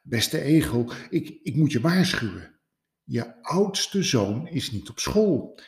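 A man's voice: mid-range voice, big pitch swings, slow, pitched above the performer's own voice. A man voicing an anxious, fussy male rabbit father in a storybook, scolding and fretful. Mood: indignant. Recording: roomy echo.

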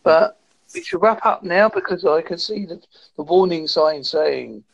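A man in his fifties talking calmly and thoughtfully on a video call. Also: fairly high pitch, creaky, lo-fi sound. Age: 50s. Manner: calm, measured, reflective. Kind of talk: casual conversation.

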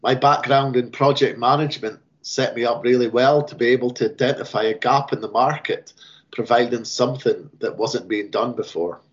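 A man delivers a measured, rehearsed sponsor pitch about his company. The recording sounds a little dull and lo-fi. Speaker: sponsor business representative. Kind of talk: scripted promotional talk.

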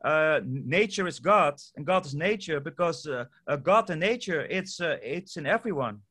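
A man from the Netherlands with a Dutch accent, a guest speaking English as a second language, talking haltingly with many fillers and repeated words. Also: fairly high pitch, animated, a bit bright. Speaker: guest, permaculture project founder. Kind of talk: casual interview answers.

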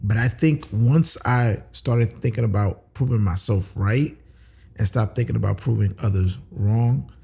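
A low, gravelly man talks easygoing as a podcast guest. His voice is husky and mellow, and he drops lots of "uh" fillers as he goes. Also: measured pace. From New York. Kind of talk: casual interview chat.

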